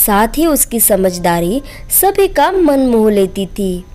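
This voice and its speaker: A woman's voice: mid-range voice, very animated, takes it slowly, dark tone. A woman storyteller reading a scripted moral bedtime story as narrator.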